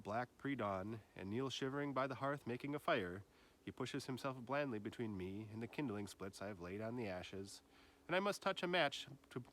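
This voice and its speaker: A man reading a literary nature essay aloud like an audiobook, with measured, deliberate phrasing, as a teacher would for a class. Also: medium pitch, a bit bright, a little hiss or hum.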